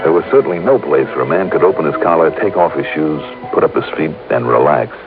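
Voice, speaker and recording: Deep-voiced tough radio detective. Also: male voice, expressive, quick pace. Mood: wry narration. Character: tough, blunt, shrewd male insurance investigator. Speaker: lead actor and narrator of a radio detective series. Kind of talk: 1950s radio detective acting. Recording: muffled vintage radio, loud music.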